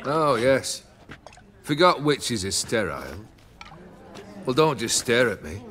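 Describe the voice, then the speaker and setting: A man's voice: medium pitch, sing-song, slow pace, a bit bright, faint music behind. An actor playing a playful, bossy man with sly charm in a video game, teasing in a drawl. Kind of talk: dramatic video game acting.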